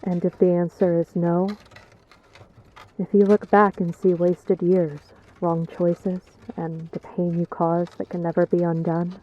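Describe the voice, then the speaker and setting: Woman acting as a low-voiced, somber noblewoman. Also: little pitch movement, a little slow, highs cut off. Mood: somber, probing. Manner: grave, formal, restrained. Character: somber, guarded young noblewoman, brooding on death. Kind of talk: fantasy audio drama acting.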